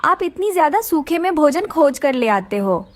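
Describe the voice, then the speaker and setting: Woman as high, lively mother bird. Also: moderate pace. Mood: affectionate. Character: caring, polite adult female bird, wife and mother. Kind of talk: animated story dialogue.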